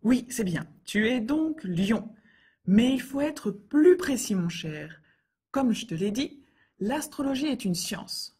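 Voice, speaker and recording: A woman reading scripted everyday dialogues for a French lesson in a clear, careful way. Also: a little high, animated, takes it slowly, mellow. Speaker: dialogue reader for French learners.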